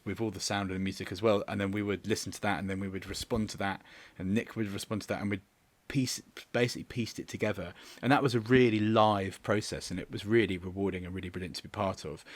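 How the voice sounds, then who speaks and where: A man's voice: lowish voice, no room sound. A man talking reflectively in an interview as a director, hesitant and often repeating words as he searches for the next phrase. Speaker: theatre and audio drama director.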